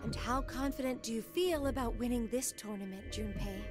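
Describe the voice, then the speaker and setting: An actress voicing an earnest, cerebral young woman in a video game, deliberate and didactic, pressing with leading questions. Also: on the high side, natural voice. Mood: insistent. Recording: music under the voice.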